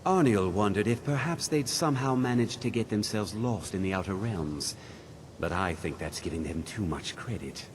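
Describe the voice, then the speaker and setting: Man playing a stuffy, low-pitched older wizard. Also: animated, normal speed, noisy background, natural voice. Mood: evasive, thoughtful. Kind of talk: video game dialogue.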